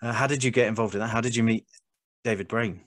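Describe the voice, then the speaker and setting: A man's voice: medium pitch, fairly even intonation, fast talker, a bit bright. A man hosting an interview podcast in a conversational style.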